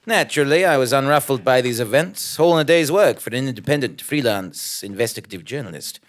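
A man's voice: mid-range voice, animated, a little fast, natural voice. A man playing a self-important investigative journalist who narrates a comic audio drama in a pompous, melodramatic manner. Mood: pompous narration.

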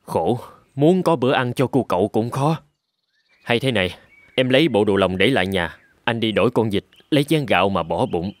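A man's voice: lowish voice, animated, moderate pace, no echo, natural voice. Man as a humble, earnest peasant father. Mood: worried, tender. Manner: polite, earnest. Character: poor, gentle, clever peasant father, humble and caring. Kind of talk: animated folk-tale cartoon dub.

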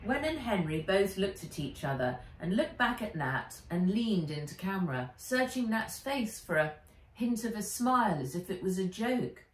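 A middle-aged woman reading a children's book aloud as its author, with measured, animated storytelling. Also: medium pitch. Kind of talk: expressive children's audiobook-style narration. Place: living room by a window. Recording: roomy, distant home camera sound.

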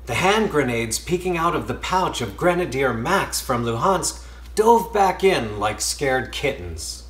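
A man reading a poem aloud expressively, with measured, emphatic phrasing and pauses, in a small room. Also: medium pitch. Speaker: poetry reader. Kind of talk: expressive poetry recitation. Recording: roomy indoor echo.

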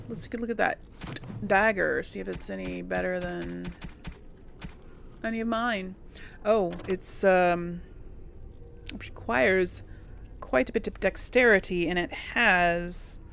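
A woman gives casual, chatty game commentary, musing aloud as she goes. Her voice is lively in pitch with a gravelly creak. A faint layer of noise sits behind her. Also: slow pace, pauses often. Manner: thinks aloud to herself. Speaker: gamer hosting a role-play playthrough.

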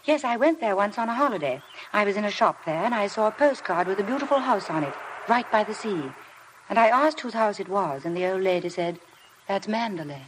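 An actress playing a shy, self-effacing young paid companion in a 1950s radio drama. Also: female voice, medium pitch, expressive, brisk, a little hiss or hum, lightly acted, rough recording. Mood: nervous, eager. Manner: hesitant, apologetic. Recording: old radio broadcast with music.

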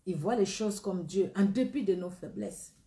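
A woman's voice: mid-range voice, animated, moderate pace, warm tone, echoey room. A middle-aged woman with a Congolese accent, preaching a sermon and leading a spoken prayer in an earnest, repetitive way. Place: indoor room against a plain wall.

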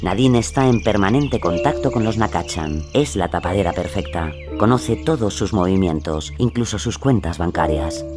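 A man's voice: low voice, expressive, normal speed, hardly any pauses. A man narrating a scripted true-crime audio documentary. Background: music.

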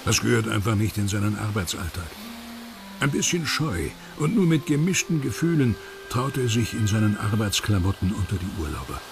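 A man reading scripted documentary narration in a lively voice over music. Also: fairly low pitch, normal speed, creaky, background noise.

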